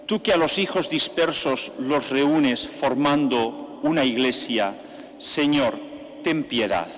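An older man, a priest, leading mass with a slow, measured liturgical delivery into a stand microphone in a large church. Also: medium pitch, a bit bright. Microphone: stand mic at the altar. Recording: long church reverb, faint background noise.

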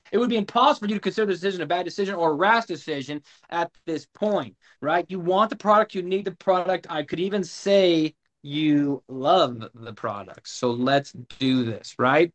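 Animated high-voiced male sales coach. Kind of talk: sales training on a video call. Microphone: video call.

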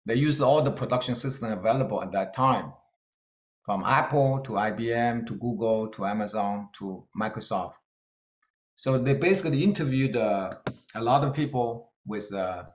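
A middle-aged man from China with a Chinese-Scottish accent, giving an earnest keynote talk with slides and pausing on fillers. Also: fairly low pitch, roomy sound. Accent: Chinese-Scottish English. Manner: earnest, plain-spoken, with hesitant fillers. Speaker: keynote speaker.

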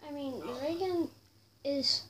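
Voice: high-pitched, lively intonation, unhurried. A child doing casual gameplay commentary in short, self-directed remarks, recorded indoors while filming a screen. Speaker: gamer. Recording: noisy room recording off a screen.

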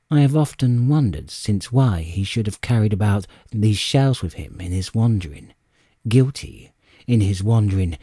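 Guy reading an adventure story, very lively pitch, expressive, dry close sound. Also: slightly rough, no room sound. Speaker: audiobook narrator. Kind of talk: dramatic scripted storybook narration.

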